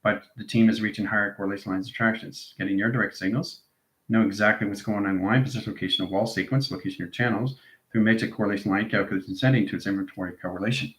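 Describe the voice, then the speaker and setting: The recording is noticeably echoey around a man with a fairly low voice. He teaches in an improvised esoteric monologue. His phrases come as incantatory strings of technical-sounding terms. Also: fairly even intonation, quick pace, fairly bright tone. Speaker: instructor, channel presenter. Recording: echoey, reverberant room sound.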